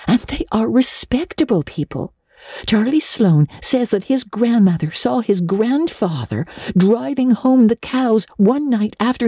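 An imaginative young girl from a classic storybook, pitched high and swinging widely. She is excitable and easily frightened, speaking with breathless, dramatic earnestness in a soft-edged tone. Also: breathy edge, no room sound, studio quality, exaggerated voice.

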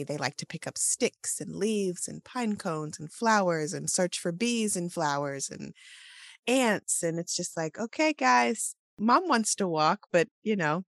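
A woman, co-hosting a casual morning podcast chat in a relaxed, meandering way, her voice still sleepy. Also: fairly low pitch, no echo, clean studio sound. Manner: relaxed, laughs often, meandering. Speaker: podcast co-host.

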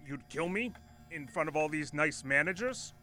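An actor playing an exasperated, defiant vice president in an audio drama, sarcastic in delivery. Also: male voice, a little high, animated, moderate pace, bright, forceful tone, faint music behind. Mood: grave, dry.

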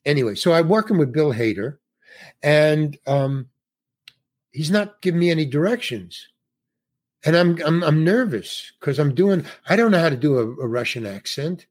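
A man's voice: fairly high pitch, lively intonation, normal speed, a little mellow. A man, an older actor, telling a rambling showbiz anecdote in a casual interview, restarting sentences and acting out quotes. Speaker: guest actor.

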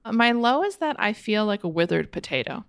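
A woman's voice: medium pitch, big pitch swings, a little fast, clean tone, dry recording. A woman co-hosting a podcast in casual chat, with a dry, jokey, self-deprecating way of talking. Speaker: podcast co-host.

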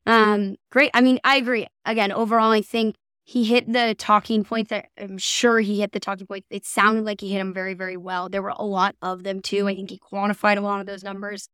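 A woman with a smooth, clear voice talks as a podcast co-host. She reviews things in a casual, conversational way and readily agrees with her partner. The sound is close and dry. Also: mid-range voice, level tone, brisk, a bit bright, no echo.

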